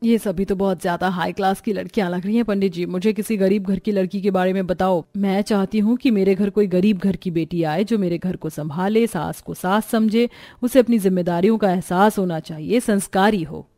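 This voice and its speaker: A woman plays a wealthy, kindly matron in a cartoon story. Her manner is measured and earnest, in a middle pitch with a dry, close sound. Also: fairly even intonation, warm tone, dry recording, close to an everyday voice. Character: kindly wealthy matron, thoughtful prospective mother-in-law.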